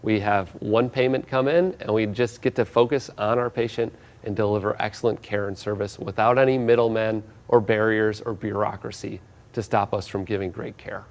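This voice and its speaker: A man's voice: on the low side, steady tone, moderate pace. A man who is a doctor, confidently making a persuasive case in an interview. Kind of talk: interview answers.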